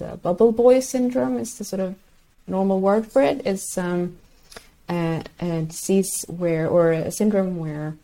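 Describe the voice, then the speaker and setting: A woman whose first language is Swedish speaks in a lowish, mellow voice. She explains things patiently as an interview guest, pausing often and searching for words. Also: rough recording. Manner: hesitant, searching for words, many fillers. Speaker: guest researcher.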